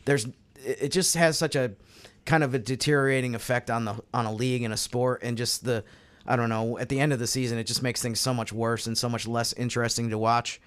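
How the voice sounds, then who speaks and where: A man on a sports podcast panel, explaining his views in a measured, casual analytical way. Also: medium pitch, fairly even intonation, a bit bright, clean tone.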